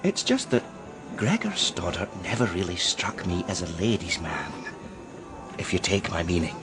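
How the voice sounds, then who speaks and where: Guarded, expressive male art dealer. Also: sits low, over music. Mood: guarded, hesitant. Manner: deliberate, euphemistic, hesitant. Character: male art dealer George, heartbroken, guarded, discreet old friend. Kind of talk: naturalistic radio crime drama acting.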